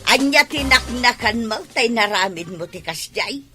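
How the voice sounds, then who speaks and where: A woman with an Ilocano accent playing an expressive, emphatic village woman in a radio comedy drama. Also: on the high side, moderate pace, over loud music, lightly acted. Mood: scolding.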